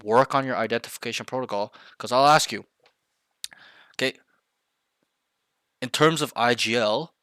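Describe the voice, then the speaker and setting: A man's voice: mid-range voice, moderate pace, pauses often, pushed and bright, clear, resonant tone, no echo. A man coaching a younger player, blunt and emphatic, repeating key points to drive them home. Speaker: game coach. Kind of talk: coaching session, direct feedback.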